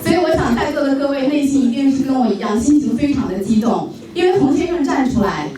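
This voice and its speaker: A woman emcee gives a rousing ceremonial introduction and warms up the audience. Her voice is fairly high. She sounds distant in a very echoey hall with a crowd behind her. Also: fast talker. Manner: excited, rousing, calls for applause. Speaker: event host introducing the speaker.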